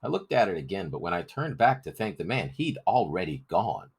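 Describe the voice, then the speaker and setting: A man's voice: low-pitched, sing-song, brisk, vocal fry, husky. A man narrating a story as a scripted audiobook reading.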